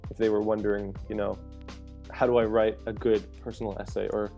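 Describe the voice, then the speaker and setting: A young man from Canada hosts the interview, his voice low and gravelly with a mellow, dark tone. He talks relaxed and friendly, with plenty of fillers, over an earphone mic in a home room. Faint music sits behind him. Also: fairly quick. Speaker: host, co-editor of a literary journal. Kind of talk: casual interview over video call. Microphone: earphone mic on video call.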